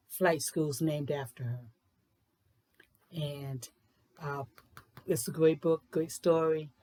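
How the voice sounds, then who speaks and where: A woman host reading aloud for children with expressive, emphatic phrasing. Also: low-pitched. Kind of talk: expressive picture book read-aloud in rhyming verse.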